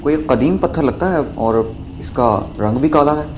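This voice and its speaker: A man's voice: fairly high pitch, unhurried, noisy background, natural voice. A man playing a bold, curious young university student in an audio drama. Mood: curious, puzzled.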